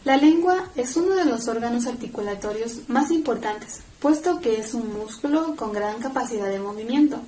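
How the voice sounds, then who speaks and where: A young woman explains material as a student giving a class presentation. Her voice is a little high. The sound has a strong room echo. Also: lively intonation, normal speed, few pauses, warm tone, a little hiss or hum.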